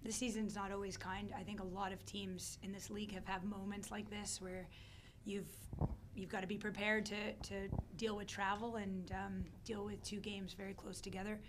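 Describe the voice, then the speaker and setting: A middle-aged woman head coach with a hoarse, worn-out voice, answering questions calmly at a postgame press conference on a desk mic. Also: mid-range voice, normal speed, noisy background. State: hoarse, losing her voice. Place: press conference room, seated at a table. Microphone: desk microphone on a stand.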